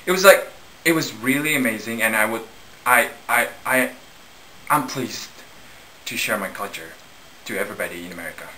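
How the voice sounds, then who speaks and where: Man with very bright, sharp voice. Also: on the low side, lively intonation, normal speed, long pauses, a little hiss or hum, low-quality recording. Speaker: international college student. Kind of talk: casual interview testimonial.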